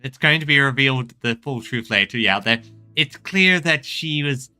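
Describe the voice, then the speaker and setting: A game streamer giving casual, halting commentary, often restarting thoughts. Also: mid-range voice, animated, sharp tone, soft background music.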